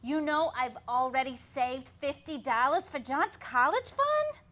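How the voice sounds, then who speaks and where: A woman plays a wry housewife in a stage comedy. Her voice is high and bright, with big swings and an unhurried pace. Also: expressive, full character voice. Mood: chirpy. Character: wry, deadpan-joking housewife, exasperated daughter. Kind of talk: staged reading of a comic play.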